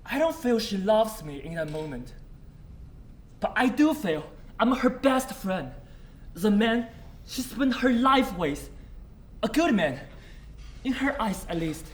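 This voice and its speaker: An actor playing an older man, tender and earnest, who speaks haltingly in a stage play. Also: high-pitched, sing-song, heavily acted. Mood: wistful, fond. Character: elated, tender old man recalling his lost love. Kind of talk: stage acting. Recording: roomy stage sound with noise.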